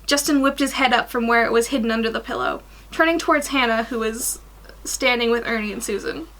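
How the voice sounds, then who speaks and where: A young woman in her twenties reading fan fiction aloud in an animated way, stumbling over the odd sentence. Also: a little high, brisk, low-quality recording. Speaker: guest story reader. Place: small attic bedroom.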